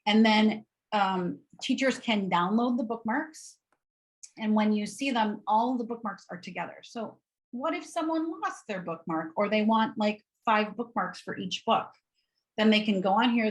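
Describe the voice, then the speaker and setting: Female webinar presenter, medium-pitched nasal Wisconsin voice, informal and upbeat, explaining as she demonstrates. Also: rough recording. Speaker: presenter of educational resources.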